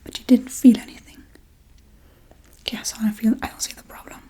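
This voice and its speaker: A scatterbrained Halloween witch, acted out by a woman, murmurs in a very breathy, whispery voice. The tone is slightly high and mellow. She rambles offhandedly in soft ASMR role-play style, blithely callous about her spells and victims. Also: level tone, brisk. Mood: offhand, musing.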